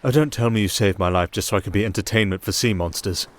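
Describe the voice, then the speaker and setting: A man's voice: fairly low pitch, fast, natural voice. A man playing a wry, nervy castaway entertainer in an ASMR roleplay, sarcastic and exasperated as he talks to an unheard partner. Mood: indignant, sarcastic. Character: young male wandering entertainer, sardonic, reluctant, nervy castaway. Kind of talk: ASMR roleplay acting, one-sided dialogue.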